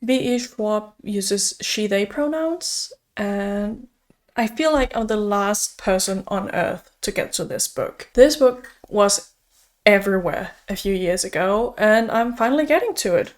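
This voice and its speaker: A young woman whose first language is Danish talks through her reading plans in a loose, upbeat vlog chat. Her voice sits at an ordinary middle pitch for a woman, and she leans on little fillers as she goes. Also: animated, measured pace. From Denmark. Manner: chatty, upbeat, uses fillers like "like" and "so". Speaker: book vlogger. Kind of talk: casual chat.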